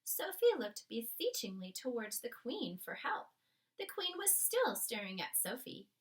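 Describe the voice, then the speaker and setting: A woman's voice: a little high, very animated, a little slow. A woman reading a children's book aloud as narrator, with dramatic, emphatic storytelling. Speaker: storybook reader.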